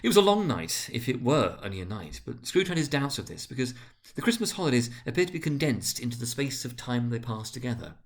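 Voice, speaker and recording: Guy reading a classic story out loud, theatrical, mid-pitched voice, phrases placed deliberately. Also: sing-song. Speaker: narrator. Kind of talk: dramatic literary narration.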